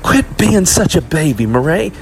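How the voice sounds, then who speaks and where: A man voicing a bossy, impatient girl with shrill, urgent exclamations in an audiobook. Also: big pitch swings, moderate pace, creaky, soft background music. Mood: angry, scolding. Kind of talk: audiobook character voice.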